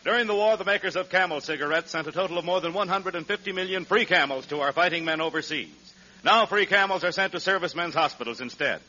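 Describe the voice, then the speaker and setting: A man's voice: high-pitched, fast, bright, forceful tone, slight background noise. A man reading scripted announcements in the formal style of a 1940s radio announcer. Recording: dull old radio broadcast recording.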